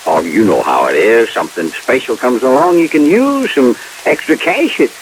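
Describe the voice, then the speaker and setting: Actor as folksy American police chief, lively delivery. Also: male voice, medium pitch, normal speed, lightly acted, poor audio. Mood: chatty, reassuring. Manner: folksy rural phrasing, hesitant stammering, eager. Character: folksy, gullible, chatty old small-town police chief. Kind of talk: 1950s radio drama acting. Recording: hissy vintage radio recording.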